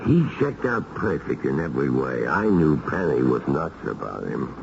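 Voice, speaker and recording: An actor playing a gruff, middle-aged American gangster and ailing father in a 1970s radio drama, wheedling in tough, ungrammatical underworld slang. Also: extremely low pitch, very animated, normal speed, darkish tone, heavily acted. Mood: confiding. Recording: noisy, dull old radio recording.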